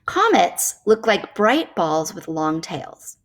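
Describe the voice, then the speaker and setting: A woman reading a nonfiction children's book aloud in a measured, explanatory way. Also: lowish voice, big pitch swings.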